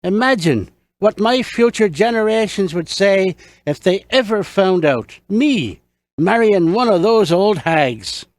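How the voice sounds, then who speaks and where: Man doing a high, hapless young Irishman. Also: lively intonation, a little slow, no echo. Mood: appalled. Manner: plaintive, protesting. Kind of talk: audiobook character dialogue.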